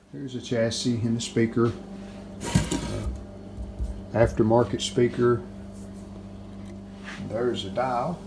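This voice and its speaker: A man giving a casual hands-on repair walkthrough, unhurried and thinking aloud as he works at an indoor bench. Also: mid-range voice. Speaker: hobbyist restorer presenting a repair video. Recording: clear background noise.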